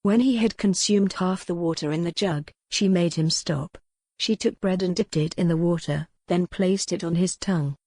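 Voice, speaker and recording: A woman's voice: on the low side, moderate pace, warm tone, no room sound. A woman reading a historical romance novel as a scripted, dramatic audiobook narration.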